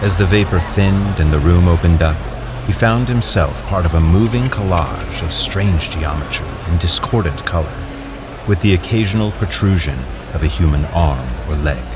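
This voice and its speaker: Casting: very deep male narrator, measured and dramatic, music bed underneath. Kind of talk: scripted audio drama narration.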